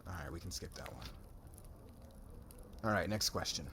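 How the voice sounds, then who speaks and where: A man's voice: deep voice, moderate pace, bright, forceful tone, slight background noise. A man playing a cocky young warrior suitor in an ASMR roleplay, with a slangy, brash, guarded way of talking. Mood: amused, casual.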